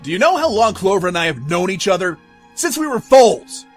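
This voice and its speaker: A man's voice: sits high, sing-song, a little fast. A man voicing an aggrieved, lovestruck unicorn stallion who defies royal law, formal and impassioned, in a fan audio drama. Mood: indignant. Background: music.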